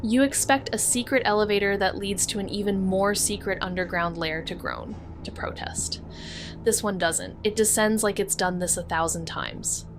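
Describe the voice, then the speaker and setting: A woman's voice: mid-range voice, normal speed, over music, lightly acted. A woman voicing a wry young amateur sleuth who narrates a noir audio drama with dry, sardonic remarks. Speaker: narrator and investigator.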